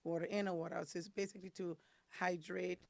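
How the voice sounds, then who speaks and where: A woman from the Caribbean with a raspy, hoarse voice, talking as a guest and explaining things step by step with frequent ums. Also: on the low side, little pitch movement, fast, dry recording. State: hoarse from detox. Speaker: guest, detox specialist. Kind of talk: casual interview talk.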